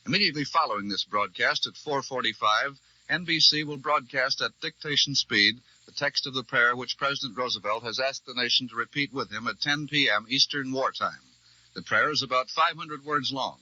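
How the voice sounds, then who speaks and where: An American man reading scripted news as a formal, measured radio correspondent in a 1940s broadcast. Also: medium pitch, sharp tone, dry recording. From United States. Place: radio newsroom. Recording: dull vintage 1940s radio broadcast recording.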